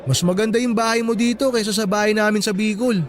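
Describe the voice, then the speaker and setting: Man acting tender high-voiced Filipino boyfriend. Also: fairly even intonation, fast, close to an everyday voice. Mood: teasing, sweet. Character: affectionate, playful boyfriend planning a family. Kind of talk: radio drama acting. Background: background music.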